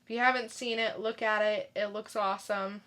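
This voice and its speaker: A woman's voice: medium pitch, fairly even intonation, a little fast, fairly bright tone. A woman co-hosting a podcast in casual chat, reacting and adding her own comments. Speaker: podcast co-host.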